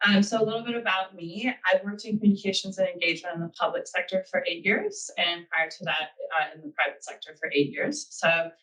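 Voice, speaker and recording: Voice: medium pitch, fairly even intonation, a little fast, hardly any pauses, sharp tone, distant mic, poor audio. A speaker with an American accent giving a webinar talk, earnest and thinking aloud with frequent ums. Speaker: guest speaker.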